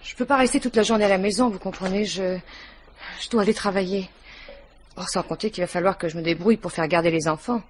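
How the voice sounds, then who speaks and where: Actress playing flustered, chatty waitress on noisy recording. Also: female voice, medium pitch, fast talker, close to an everyday voice. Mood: shaken, flustered. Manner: rambling, hesitant, repeats herself, deferential. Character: chatty, flustered widowed working-class waitress and mother. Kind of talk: French radio mystery drama acting.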